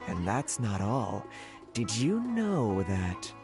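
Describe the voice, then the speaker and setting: A man's voice: lowish voice, wildly swooping pitch, drawn-out words, exaggerated voice. An actor playing a worried townsman who sighs and confides rumors of trouble in a video game. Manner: exasperated, confiding. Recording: game audio with clear music.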